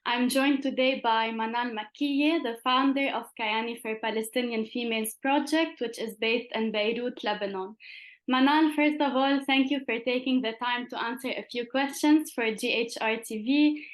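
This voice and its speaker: A woman opens an interview and puts questions to her guest in a polite, formal manner. Her voice sits slightly high. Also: normal speed. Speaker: interviewer, host. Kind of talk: scripted interview introduction.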